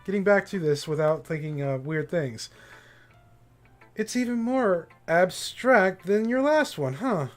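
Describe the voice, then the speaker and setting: Male livestreamer, voice a bit on the high side, informal visual-novel playthrough with read-aloud lines, soft game music behind. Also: animated, unhurried. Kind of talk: casual playthrough commentary, reading game text aloud.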